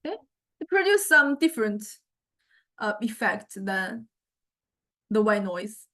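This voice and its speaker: A woman giving an informal software demonstration lecture, hesitantly thinking aloud and searching for words as she explains. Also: medium pitch, very animated, a little slow. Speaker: music technology lecturer.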